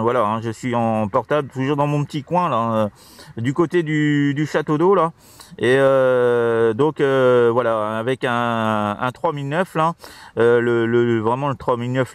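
A man's voice: medium pitch, clear, resonant tone, dry recording. A man chatting casually as a CB radio operator, hesitant and repetitive, with constant tag words. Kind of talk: casual radio contact chat. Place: outdoors, crouched in grassy scrubland.

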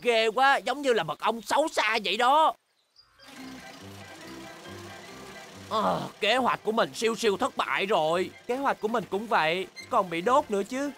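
A woman voicing an excitable, boastful young bear cub in a children's cartoon, with exaggerated, childlike delivery. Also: a little high, moderate pace, hardly any pauses, soft background music. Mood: rueful. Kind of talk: animated children's cartoon dubbing.

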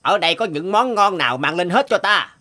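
A young soldier in an animated fairy tale, loyal to his king and brisk in manner. His voice is high for a man, with a bright, forceful edge. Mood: brisk, commanding. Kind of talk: cartoon fairy-tale dubbing.

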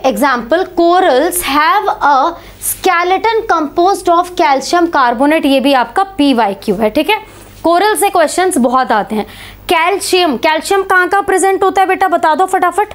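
High-voiced, slightly hoarse woman teaching biology. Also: measured pace. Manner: animated, asks students questions, calls them beta. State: hoarse, sore throat. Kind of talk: online classroom lecture. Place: small indoor studio before a slide board.

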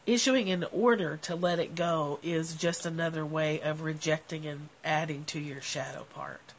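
A woman's voice: sits low, normal speed, a little hiss or hum, poor audio. Nasal woman with a cold, musing. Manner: animated, gestures a lot while talking. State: has a cold. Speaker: livestream host. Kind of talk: casual reflective talk to viewers. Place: covered outdoor porch. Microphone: phone at arm's length.

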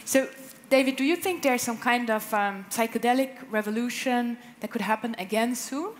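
A woman's voice: a little high, normal speed. A woman in her thirties hosting a conference question-and-answer session on a large indoor stage. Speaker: conference host. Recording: stage mic with background noise.

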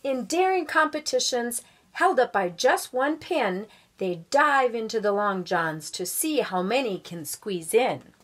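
Middle aged lady reading a kids book out loud, highish voice, takes it slow, really expressive. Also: slight background noise. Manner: expressive, drawn-out storytelling delivery. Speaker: volunteer guest story reader. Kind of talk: children's picture book read-aloud. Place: small room at home.

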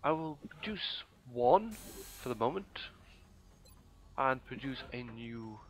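A hoarse man, casually commentating a strategy game in a rambling, mock-grand way. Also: medium pitch, very animated, slow, a little hiss or hum. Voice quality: rambling, self-correcting, mock-grand phrasing. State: hoarse, losing his voice. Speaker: let's play gamer.

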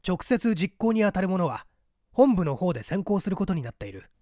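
Man voicing a stern, commanding underground agent, lively pitch. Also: brisk, no room sound, studio quality. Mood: formal, commanding. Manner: authoritarian, clipped, formal orders. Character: stern, commanding male secret-party courier in a mask. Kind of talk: dramatized audiobook dialogue.

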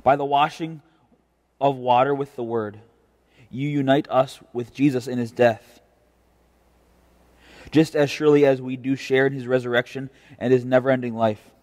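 A young American man preaching a devotion as a pastor, in a measured, earnest and deliberate way. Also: medium pitch, fairly even intonation, a little mellow. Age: young adult. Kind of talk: devotional preaching with scripture reading. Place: indoor church chancel. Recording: reverberant church, mic at a distance.

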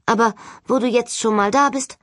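A woman's voice: a little high, normal speed, fairly bright tone, no echo, clean studio sound, pitched above the performer's own voice, lightly acted. A woman voicing a brusque, insistent young female ghost hunter in audiobook dialogue.

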